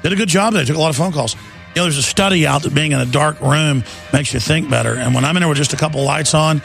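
A middle-aged man hosting a talk radio show, hoarse after an illness, talking in a relaxed, rambling way and repeating words as he reads ads and news. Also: fairly high pitch, fast, faint music behind. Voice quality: gravelly, raspy. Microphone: studio microphone.

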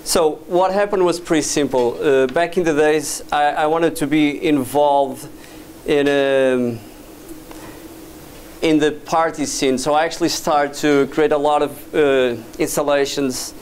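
A man from Portugal with a slightly high voice talks to an audience in a dim lecture hall. He hesitates often, restarting his sentences. A camera at the back of the room catches him from a distance with a faint hum underneath. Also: steady tone, unhurried, darkish tone. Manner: hesitant, many fillers and restarts. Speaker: guest speaker, VJ and doctoral student. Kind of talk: casual presentation to an audience. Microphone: far camera at back of room.

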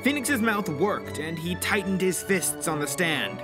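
A man's voice: high-pitched, expressive, a little slow. A man narrating an audio story in a dramatic, scripted style. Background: music.

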